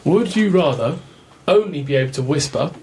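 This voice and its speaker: Young co-host with a lighter, higher voice, quick to tease. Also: male voice, animated, darkish tone, a little hiss or hum. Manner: teasing quips. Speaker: podcast co-host. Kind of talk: casual banter.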